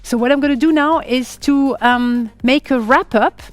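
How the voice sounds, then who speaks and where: A woman with a French accent hosting a conference live on stage, in a lively, playful way that breaks into laughter. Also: high voice, moderate pace, faint music behind. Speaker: conference host and moderator.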